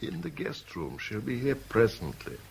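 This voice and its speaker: A man's voice: lowish voice, big pitch swings, normal speed, gravelly, slightly rough, exaggerated voice. An actor playing an urbane, wily older man in a radio drama, speaking in a suave, theatrical and patronising way. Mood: coolly amused.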